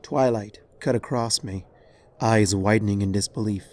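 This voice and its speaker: A man reading dramatic fiction as narrator in a somber, measured way. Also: lowish voice, darkish tone. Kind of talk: dramatic scripted story narration.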